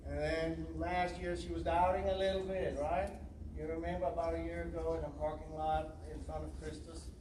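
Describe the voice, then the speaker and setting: A volleyball coach with an accent giving an earnest, emotional speech at a signing ceremony, talking in a rambling way with fillers. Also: male voice, fairly high pitch, level tone, normal speed. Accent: non-native English. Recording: echoey room, far from mic, noisy.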